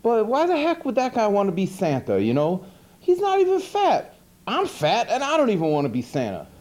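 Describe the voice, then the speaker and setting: A man's voice: sits high, huge pitch swings, moderate pace, darkish tone. A man playing a grumpy, dim monster who hosts a TV horror-movie show, rambling and complaining in exasperated disbelief. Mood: indignant. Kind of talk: rambling comedic hosted segment. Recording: 1980s VHS TV recording with music.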